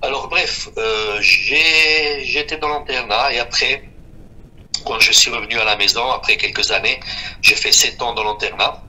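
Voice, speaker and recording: A man of fifty-six from Switzerland speaks in a halting, repetitive way as he gives an unscripted personal testimony. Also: medium pitch, sharp tone, poor audio. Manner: halting, repeating himself. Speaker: guest giving a personal testimony.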